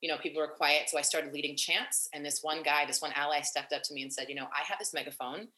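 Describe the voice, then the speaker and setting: A young woman activist talking in an interview, animated and long-winded with many fillers and restarts. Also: low-pitched, quick pace, reverberant, rough recording. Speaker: activist and nonprofit founder. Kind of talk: casual interview conversation.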